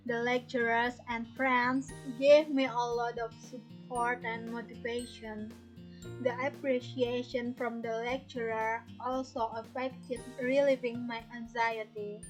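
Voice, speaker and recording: A young woman with an Indonesian accent giving a measured, rehearsed student presentation on a lo-fi recording. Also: on the high side, flat delivery, faint music behind. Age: young adult. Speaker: student panelist. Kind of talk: prepared presentation. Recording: dull lo-fi audio.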